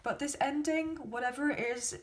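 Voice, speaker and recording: Woman with a sore throat, slightly high voice. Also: moderate pace. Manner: chatty, rambling, hedging with many fillers. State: sore throat, losing her voice. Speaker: tarot card reader. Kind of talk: casual spoken tarot reading.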